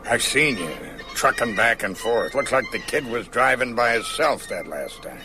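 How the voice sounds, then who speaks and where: An actor playing a weary old constable in a radio drama, with a gravelly voice and a folksy, resigned manner. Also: male voice, mid-range voice, animated, moderate pace, music in the background, close to an everyday voice. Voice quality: gravelly, coughing. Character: sad, weary old male small-town constable, resigned and evasive.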